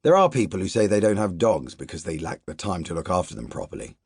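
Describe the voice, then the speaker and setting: Man, audiobook narrator, husky low voice, lively intonation, measured literary reading. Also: dry recording, clean studio sound. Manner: measured first-person narration, quiet asides in dialogue.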